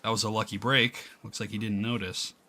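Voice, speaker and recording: A man voicing a sleepy, embarrassed high school boy who narrates a visual novel in a hesitant, self-conscious way. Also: level tone, fairly quick, sharp tone. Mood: subdued, embarrassed. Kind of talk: visual novel read-aloud.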